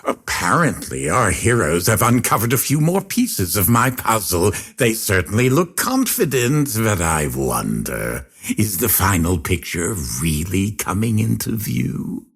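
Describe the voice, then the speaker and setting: An actor playing a pompous, sinister circus ringmaster in a video game, speaking in florid showman's phrases with drawn-out dramatic emphasis. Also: on the low side, big pitch swings, gravelly, fairly bright tone, husky, heavily acted. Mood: sly, ominous. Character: theatrical male circus ringmaster villain, grandiose and sinister. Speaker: video game villain and overseer. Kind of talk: theatrical scripted game voice lines.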